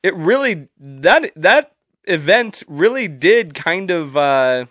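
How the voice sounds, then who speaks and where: A man's voice: fairly high pitch, sing-song, slow, a bit bright, dry recording, clean studio sound. A young man hosting a solo podcast, stuffy and congested from allergies, talking casually and rambling with loose, profane humor. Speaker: podcast host.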